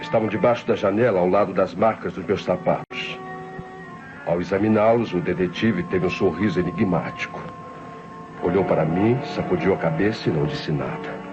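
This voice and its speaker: A Brazilian man playing an anxious murder suspect in an old radio drama, with measured, brooding, literary delivery. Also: low-pitched, natural voice. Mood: brooding, tense. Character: anxious male murder suspect narrating his own downfall. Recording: muffled vintage radio recording, music behind.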